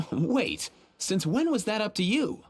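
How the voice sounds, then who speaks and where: An actor playing an easygoing, good-humoured young animal keeper in a game. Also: male voice, high-pitched, sing-song, slow, heavily acted. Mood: amused. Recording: music behind the voice.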